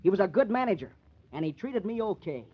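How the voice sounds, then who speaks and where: Stubborn hot-headed American pitcher, high-voiced and slangy, dull vintage radio crime drama sound. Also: male voice, big pitch swings, quick pace, breathy edge, full character voice. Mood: agitated, defensive. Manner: slangy, defiant tough talk. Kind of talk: old-time radio crime drama acting. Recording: dull old-time radio recording.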